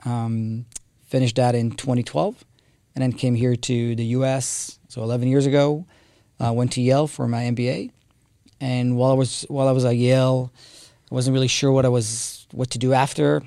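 Guy in his 30s, gravelly mellow voice, chatty guest, lots of ums and restarts. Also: mid-range voice, little pitch movement, a little fast, no room sound, clean studio sound. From Israel. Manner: candid storytelling with frequent fillers and restarts. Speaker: guest, company co-founder. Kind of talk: casual interview talk.

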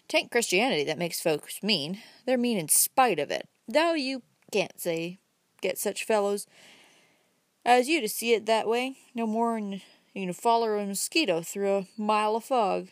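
A woman's voice: fairly high pitch, expressive, moderate pace, no echo, clean studio sound. A woman voicing a talkative, folksy old countryman with a rustic drawl, reading an audiobook. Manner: chatty, homespun, emphatic.

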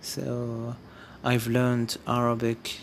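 Man, Amazigh speaker, very slow, halting speech full of restarts, faint hum of air conditioning behind. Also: mid-range voice, completely flat delivery, creaky. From Morocco. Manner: hesitant, searching for words, many restarts. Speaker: vlogger. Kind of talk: casual spoken monologue to viewers.

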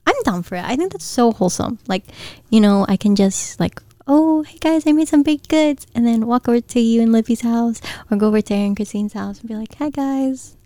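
A woman's voice: on the high side, mellow, no room sound. Chatty young woman co-host. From Guam. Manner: chatty, agreeable, quick back-and-forth. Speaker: podcast co-host. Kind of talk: casual chat.

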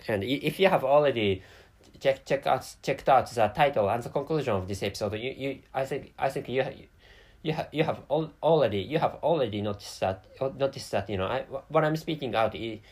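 Japanese-accented male podcaster, non-native English, plodding, self-correcting, lively intonation. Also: mid-range voice. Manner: halting, many restarts and self-corrections. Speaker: podcast host. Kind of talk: solo podcast monologue.

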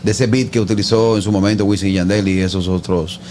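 A man's voice: lowish voice, fairly even intonation, quick pace, darkish tone, a little hiss or hum. A man hosting a casual interview, mixing his own comments with questions. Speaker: interviewer, show host.

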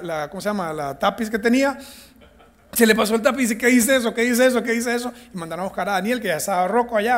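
A man with a Venezuelan accent teaches a Bible class in a playful, animated way. His voice sits fairly high, and he slips into acted-out lines for the figures in his story. He jokes with his listeners as he goes. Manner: joking, animated storytelling, acting out characters. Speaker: church teacher. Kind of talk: lively Bible class talk.

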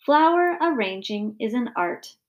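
A woman's voice: on the high side, lively intonation, measured pace. Woman as a patient, genteel storybook mother. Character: patient, indulgent housewife mother with lofty interests.

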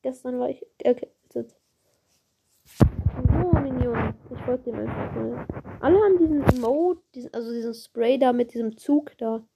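A woman with a slight cold, giving excitable, chatty commentary on her own gameplay, swearing and apologizing as she plays. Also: fairly high pitch, moderate pace, gravelly, heavy background noise. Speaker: gaming video creator.

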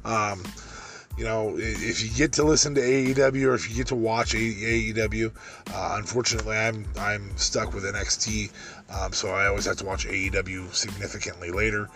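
Man, deep voice, podcast host, rambling, lots of ums, faint music behind. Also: fairly even intonation, brisk, a bit bright. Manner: rambling, many fillers and restarts. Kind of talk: solo podcast wrestling review.

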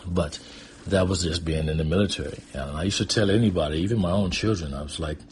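Very deep-voiced American man reminiscing over noise. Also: fast talker. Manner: rambling, conversational. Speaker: guest storyteller. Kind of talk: casual autobiographical storytelling.

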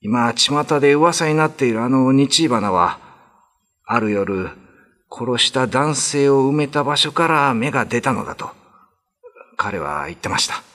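A man's voice: medium pitch, lively intonation, measured pace, fairly bright tone, in a large hall, pitched above the performer's own voice. An actor playing a deferential male servant in a radio drama, speaking in a formal, hesitant, confiding manner. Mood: grave, confiding. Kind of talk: Japanese radio drama acting.

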